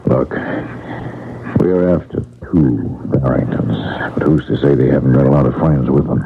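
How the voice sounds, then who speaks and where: An actor playing a steady, watchful detective in an old-time radio drama, with a gravelly voice. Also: male voice, extremely low pitch, normal speed. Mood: low, watchful. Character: steady, watchful male detective planning a raid. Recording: tinny, noisy vintage radio recording.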